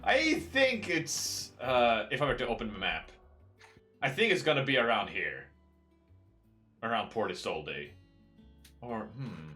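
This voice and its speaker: A man's voice: medium pitch, very animated, a little slow, pauses often, pushed and bright. A man hosting a casual let's play, chatting, laughing and reading game text aloud. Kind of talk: casual gameplay commentary. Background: game music.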